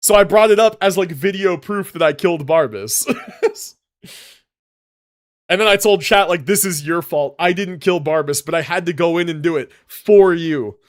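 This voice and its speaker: A man's voice: on the high side, moderate pace. A young adult man streaming live, chatting casually and rambling as he tells a story, often tagging on "right?" and "like" and sometimes mushing his syllables.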